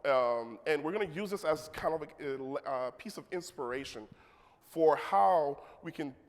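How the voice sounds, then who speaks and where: A man's voice: on the high side, expressive, a little slow. A man giving a technical conference talk in a casual manner, with joking asides to the audience. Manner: leads the crowd in a call-and-response. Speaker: conference speaker. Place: large conference hall. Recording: long hall reverb.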